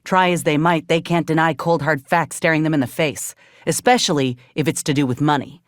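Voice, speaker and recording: An actress playing a grim, resolute outcast soldier in a video game, with a folksy drawl and a measured, wry delivery. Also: female voice, deep voice, steady tone, dry recording, studio quality, close to an everyday voice. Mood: firm, determined. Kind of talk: video game character dialogue.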